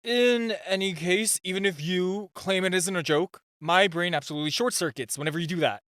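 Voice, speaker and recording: A man's voice: high voice, a little fast, fairly bright tone, dry recording. Man acting a shy, stammering gadget nerd, dry close sound. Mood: flustered, pleading. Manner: nervous, stammering, flustered. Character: super-shy, flustered nerdy male gadget inventor. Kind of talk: amateur roleplay audio acting.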